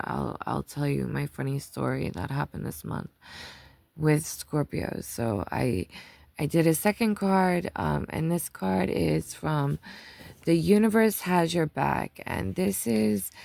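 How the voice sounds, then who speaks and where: Low, gravelly woman giving a tarot reading. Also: dry recording. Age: 30s or older. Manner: chatty, rambling storyteller; laughs often. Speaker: tarot reader. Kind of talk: casual monthly tarot reading.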